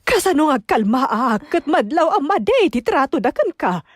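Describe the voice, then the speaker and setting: A woman's voice: sits high, very animated, normal speed, dry recording. An actress with an Ilocano accent playing a protective, worried mother, hurt and indignant, in a radio drama. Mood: indignant, distressed. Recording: dry studio with background music.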